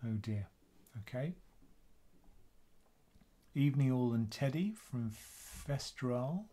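A soft-spoken British man in his fifties answers viewers' questions live from a webcam at his desk. His delivery is casual and chatty, sitting in the middle of the usual range for a male voice, and it is recorded in a small room at home. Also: mid-range voice, animated, slow pace. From United Kingdom. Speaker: investing channel host. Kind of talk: live Q&A stream.

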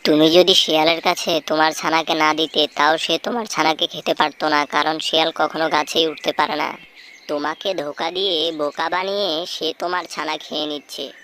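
Wise cartoon peacock, voiced by a woman: low register, kindly counsel, faint noise and birdsong behind. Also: little pitch movement, moderate pace, fairly bright tone. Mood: dismayed, advising. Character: kindly, wise male peacock giving firm advice. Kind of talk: children's animated folk tale dialogue.

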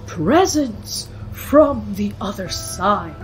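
A woman playing a self-assured, theatrical teenage séance leader with a husky, solemn delivery in an audio drama. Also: medium pitch, expressive, extremely slow, soft background music.

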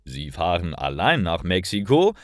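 A man voicing a stern, suspicious male border official in a story reading, measured and icy as he interrogates. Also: sits low, very animated, no echo, studio quality.

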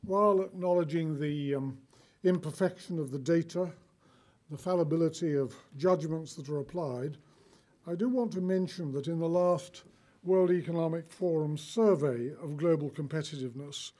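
Older male keynote, formal read delivery, mellow and higher-pitched, lectern mic. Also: normal speed. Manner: formal, reading from notes. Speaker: keynote speaker. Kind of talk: formal prepared address. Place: conference hall, lectern.

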